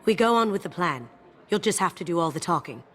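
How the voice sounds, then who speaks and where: Low-pitched female game thief, cool, playful and confident, music underneath. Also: expressive, a little fast, a bit bright. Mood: confident, businesslike. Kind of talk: video game character acting. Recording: music behind the dialogue. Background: game soundtrack music.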